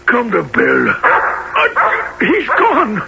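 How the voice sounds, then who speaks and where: An actor in a radio play playing a brutal, guilt-haunted criminal on the run, rough and menacing. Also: male voice, very high voice, huge pitch swings, extremely slow, full character voice. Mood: guilty, frantic. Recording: muffled, very noisy radio-play recording.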